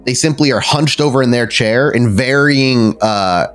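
A man's voice: mid-range voice, normal speed, fairly bright tone, faint music behind. A man improvising descriptive narration as the storyteller of a tabletop roleplaying game, in a casual, conversational way.

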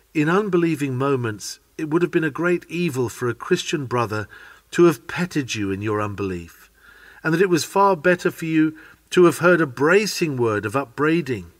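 A man's voice: medium pitch, no room sound. A man reading a sermon aloud in an earnest, oratorical manner. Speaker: sermon reader.

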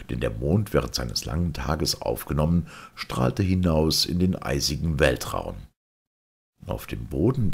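A man narrating an old science-fiction novel as an audiobook, in a dramatic, measured storytelling manner. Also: a bass voice, creaky, slightly rough.

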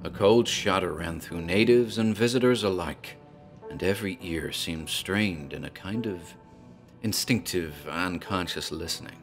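Deep-voiced guy telling a creepy tale like a tavern keeper, dramatic, faint music under it. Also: unhurried. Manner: theatrical, storytelling. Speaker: narrator, landlord figure. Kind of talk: dramatic horror narration.

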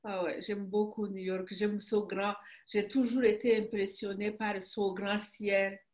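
A woman in her sixties from Rwanda with a Rwandan accent in French, a writer interviewed over a video call from her home, speaking haltingly and emphatically, often restarting her phrases. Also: medium pitch, little pitch movement, darkish tone. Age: 60s. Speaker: author guest. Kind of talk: conversational interview. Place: home room. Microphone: video call webcam.

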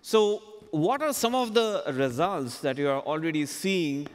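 Male conference host, 40s, slightly high register, stage interview and presentation, headset mic with big-hall reverb. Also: animated, unhurried. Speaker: keynote host interviewing a customer. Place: large conference stage, indoors.

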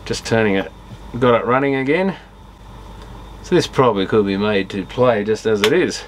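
A man's voice: fairly low pitch, moderate pace, a little hiss or hum, rough recording. A man giving casual, unscripted commentary as he tinkers with electronics, thinking aloud in fragments and trailing off. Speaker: electronics repairer and video host.

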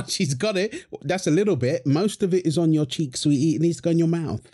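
A man's voice: fairly high pitch, moderate pace, mellow, slightly rough. A man playing a young father feeding his baby daughter, playful and affectionate, laughing often. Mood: doting. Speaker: voice actor in audio roleplay. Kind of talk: wholesome audio roleplay.

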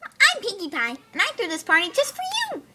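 A woman's voice: very high voice, very animated, a little fast. A woman voicing a hyperactive, bubbly young female cartoon pony in a giddy, exclamatory manner, as a fan impression. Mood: giddy, welcoming. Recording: noisy amateur handheld camera audio.

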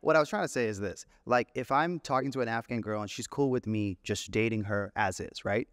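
A man's voice: mid-range voice, brisk, dry recording, clean studio sound. A young man from Canada co-hosting a podcast, eager and full of questions. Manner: eager, asks questions, talks in bursts. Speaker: podcast co-host. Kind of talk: casual podcast chat.

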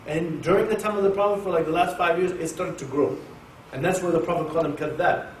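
A man from Oklahoma giving an informal Quran class to an audience in a mosque, talking in a jokey, animated way and turning to people in the room. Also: a little high, fast. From Oklahoma, USA. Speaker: Quran teacher. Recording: echoey room, distant mic, faint hiss.

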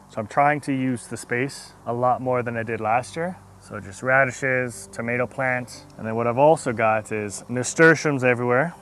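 Guy in his 30s doing a casual garden vlog outside, bright forward voice, bit of background noise. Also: medium pitch. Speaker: gardening vlogger. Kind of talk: casual narrated garden tour. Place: outdoors in a residential yard.

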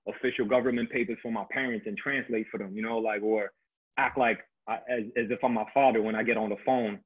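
A man's voice: lowish voice, fairly even intonation, fast, husky. A young man raised in Queens, speaking with a New York accent, chatting loosely on a video call with lots of "you know" and "like". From born in Korea, raised in Queens. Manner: laid-back, heavy fillers like "you know". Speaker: contest finalist guest. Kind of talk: casual video call chat. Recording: tinny phone-like line.

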